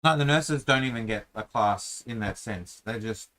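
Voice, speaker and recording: A man's voice: mid-range voice, normal speed, a bit bright. A man hosting a casual chat while drawing a dungeon map, talking in a playful, rambling, self-mocking way.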